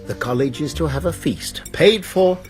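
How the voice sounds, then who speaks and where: An actor playing a genial, authoritative college master in a radio drama, explaining things with polite urbanity. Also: male voice, fairly high pitch, moderate pace, soft background music, close to an everyday voice. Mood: urbane, reassuring. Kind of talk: British radio drama acting.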